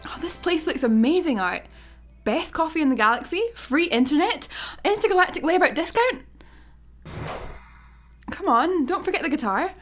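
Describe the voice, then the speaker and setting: Eager, high-voiced young space traveller. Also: female voice, animated, moderate pace, long pauses, soft background music. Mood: excited. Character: keen, chirpy young woman, space traveller. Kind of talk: radio sitcom acting.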